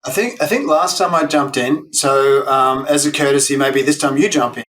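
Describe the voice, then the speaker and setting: Guest, Australian man, mid-pitched voice, relaxed and easygoing chat. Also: moderate pace. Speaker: guest educator. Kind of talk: casual interview chat.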